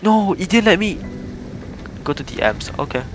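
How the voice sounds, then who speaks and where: A man's voice: sits high, very animated, moderate pace. A man giving casual, rambling and giggly live commentary as a game streamer. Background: game music.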